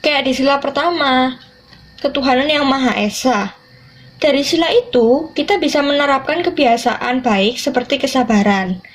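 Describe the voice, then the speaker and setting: A woman's voice: high-pitched, lively intonation, moderate pace. A teenage young woman, giving scripted educational narration as a student podcast presenter. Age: teens, high school student.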